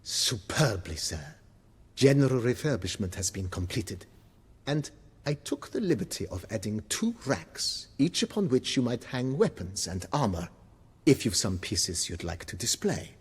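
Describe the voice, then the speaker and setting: An animated, deferential estate majordomo. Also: male voice, medium pitch, unhurried, breathy edge, exaggerated voice. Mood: polite, deferential. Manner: formal, ornate, servile phrasing. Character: courteous, deferential male estate majordomo, eager to please. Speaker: voice actor. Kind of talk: video game cutscene acting.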